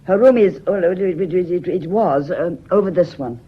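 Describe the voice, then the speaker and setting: Nervous british lady's companion in a radio play, husky voice, prim and careful, muffled old-style sound. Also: lowish voice, normal speed. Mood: flustered. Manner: careful, polite, flustered. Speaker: actress in a radio mystery drama. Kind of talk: British radio drama acting.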